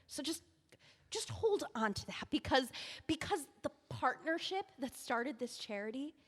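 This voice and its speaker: An actress playing a young intern editor who pleads earnestly and emotionally, rushing between thoughts. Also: female voice, high voice, expressive, in a large hall. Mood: persuasive. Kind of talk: audio drama acting.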